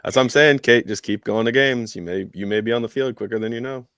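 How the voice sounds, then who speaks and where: A man's voice: medium pitch, quick pace, fairly bright tone, dry recording, studio quality. A man co-hosting a sports podcast in casual conversation, hedging his points and leaning on "like" as he talks. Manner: hedging, conversational, heavy use of "like". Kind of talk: casual soccer commentary chat.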